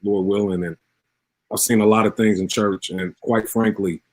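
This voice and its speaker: A man's voice: on the low side, fairly even intonation, moderate pace. A man in his forties, a pastor speaking earnestly as an interview guest, repeating phrases for emphasis in a preacher-like way. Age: 40s.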